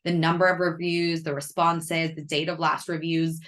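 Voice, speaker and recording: A woman who is losing her voice gives a friendly, explanatory webinar talk about marketing, sounding hoarse and naturally deep. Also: little pitch movement, normal speed, a bit bright. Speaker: customer success head presenting a webinar.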